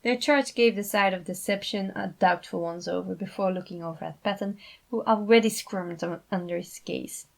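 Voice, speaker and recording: A woman's voice: mid-range voice, moderate pace, gravelly. A woman reading fan fiction aloud as scripted story narration. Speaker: fan fiction author and reader.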